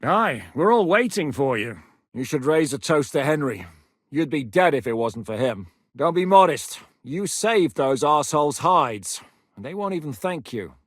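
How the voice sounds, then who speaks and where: A gruff, battle-hardened rebel commander for a medieval game, speaking. He is blunt and commanding, his voice sitting a little high for a man. The studio recording is dry and close. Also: big pitch swings, normal speed, no room sound. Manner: blunt, commanding, plain-spoken. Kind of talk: game voice lines.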